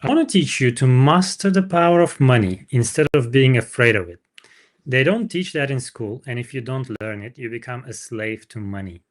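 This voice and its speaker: Wise older male mentor, read by a man, storybook dialogue, medium pitch, didactic, frank, emphatic. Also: animated, normal speed, close to an everyday voice. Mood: earnest, instructive.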